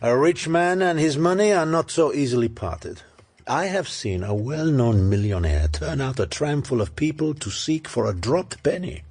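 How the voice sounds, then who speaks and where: Fussy proud detective, french accent, husky, very animated, precise formal radio drama talk. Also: male voice, medium pitch, normal speed. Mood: intrigued. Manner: precise, formal, didactic phrasing. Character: proud, fastidious middle-aged foreign male detective.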